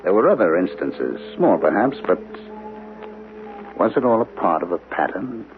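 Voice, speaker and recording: An uneasy writer-narrator, lowish and expressive, tinny old radio sound. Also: male voice, moderate pace, faint music behind. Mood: brooding. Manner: introspective, questioning. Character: reflective male writer, uneasy and suspicious. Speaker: narrator and lead character. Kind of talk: 1970s radio drama acting. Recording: tinny old radio broadcast with faint hiss.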